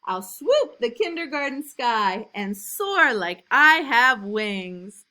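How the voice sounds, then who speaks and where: Lady reading as an eager little kindergarten boy, storybook rhymes, high and sing-song, really animated. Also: full character voice. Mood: eager, excited. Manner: sing-song, emphatic, drawn-out line endings. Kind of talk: rhyming storybook read aloud.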